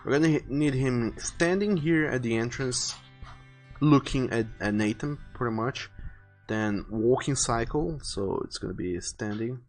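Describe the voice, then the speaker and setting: Male livestreamer, mid-pitched, rambling and informal, planning out loud with music behind him. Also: unhurried. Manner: rambling, repeats and rephrases as he plans. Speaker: live streamer illustrating a visual novel. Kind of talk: casual livestream chat, thinking aloud while planning artwork.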